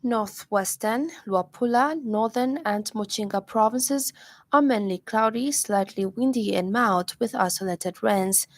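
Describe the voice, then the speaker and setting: A woman's voice: a little high, measured pace, no room sound. A woman with a Zambian accent reading a scripted radio news bulletin as presenter.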